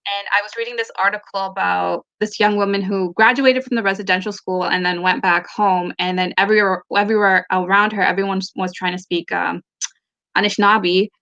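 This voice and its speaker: A woman's voice: medium pitch, fairly even intonation, fairly quick, pushed and bright, highs cut off. A woman whose first language is Tamil, co-hosting a casual book club discussion over a video call, thoughtful and full of fillers and restarts. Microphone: laptop or phone mic on a video call.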